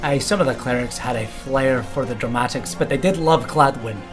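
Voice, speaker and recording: A man's voice: medium pitch, moderate pace, music in the background, close to an everyday voice. A man playing a blunt, sardonic dwarf adventurer in a fantasy audio drama, given to needling remarks and archaic turns of phrase. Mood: earnest. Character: gruff male dwarf adventurer, sardonic and plainspoken.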